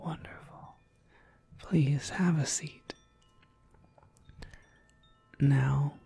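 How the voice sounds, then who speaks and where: A woman playing a gentle, courteous witch shopkeeper in an ASMR roleplay, speaking in an unhurried, breathy whisper. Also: deep voice, full character voice. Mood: welcoming. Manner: unhurried, polite, softly explanatory. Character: gentle witch shopkeeper, courteous, quietly proud of her craft.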